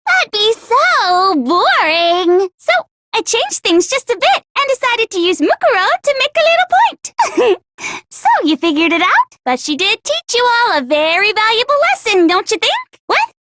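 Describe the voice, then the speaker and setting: A woman's voice: very high voice, sing-song, measured pace, a bit bright, no room sound, full character voice. A woman voicing a cutesy, flippant teenage girl villain in an anime video game, mock-innocent and breezily nihilistic.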